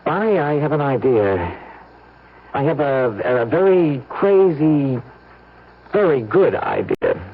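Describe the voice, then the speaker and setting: Nervy, scheming young husband, swooping pitch. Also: male voice, huge pitch swings, takes it slowly, a little hiss or hum, exaggerated voice. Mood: urgent coaxing. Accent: American. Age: young adult. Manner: stammering, repeats words. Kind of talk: old-time radio drama acting. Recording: muffled old radio broadcast.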